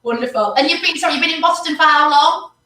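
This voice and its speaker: Enthusiastic female host, bright voice, roomy and off mic. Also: high voice, fast talker, distant mic. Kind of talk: live online show chat.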